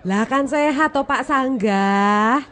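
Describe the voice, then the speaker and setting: A brisk, higher-voiced motherly organiser. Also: a bit bright. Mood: chiding. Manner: organising, instructive. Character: brisk, motherly woman leading a community street parade. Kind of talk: radio drama dialogue.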